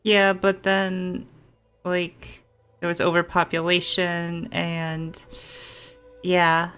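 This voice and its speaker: A woman's voice: on the low side, monotone, drawn-out words, fairly bright tone, smooth, faint music behind. A woman gaming streamer giving casual reaction commentary, musing and thinking aloud.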